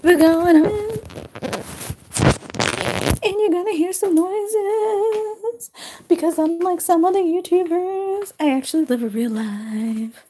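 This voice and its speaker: A woman's voice: very high-pitched, takes it slowly, mellow, a little hiss or hum, low-quality recording. A woman from Massachusetts with a Worcester accent, chatting casually and bluntly on a live stream, swearing freely. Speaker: YouTuber hosting a live stream.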